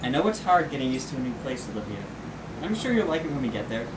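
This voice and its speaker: A man's voice: medium pitch, very animated, quick pace, close to an everyday voice. A man playing an easygoing, reassuring father in an amateur radio play, speaking patiently. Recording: noisy room, hum behind voice.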